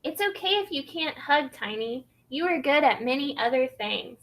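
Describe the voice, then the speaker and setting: A woman voicing a grown dinosaur relative in a children's storybook, giving kindly, sure advice in a measured, instructive way. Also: high-pitched, animated, fairly bright tone, poor audio. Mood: comforting. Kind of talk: children's storybook read-aloud.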